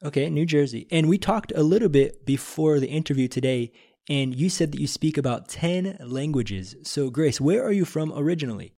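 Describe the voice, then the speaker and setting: A man's voice: a little high, animated, moderate pace, warm tone. A man hosting a podcast interview from a studio, an enthusiastic, encouraging interviewer. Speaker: podcast host.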